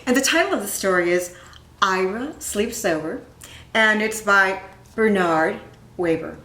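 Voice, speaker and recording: A woman reading a children's story aloud, speaking deliberately and pausing to put questions to her listeners. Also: medium pitch, sharp tone, far from the mic. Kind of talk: expressive storybook read-aloud with asides. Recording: roomy, echoing room sound.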